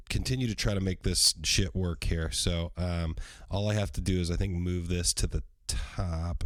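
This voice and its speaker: A man with a deep, hoarse voice hosts his own podcast livestream. He talks in a loose, rambling way, swears freely and fills his pauses with ums. The sound is dry and close, with no room around it. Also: no room sound. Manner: rambling and profane, with many ums. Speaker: podcast host. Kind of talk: casual solo podcast livestream.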